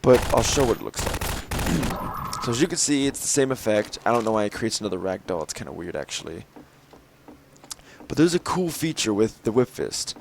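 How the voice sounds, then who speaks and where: A young man with a slightly raspy, tired voice, showing off a game mod in rambling commentary full of fillers and throat clearing. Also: fairly low pitch, animated, moderate pace, background noise. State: slightly raspy from lack of sleep. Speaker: gameplay video host. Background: game gunfire and clicks.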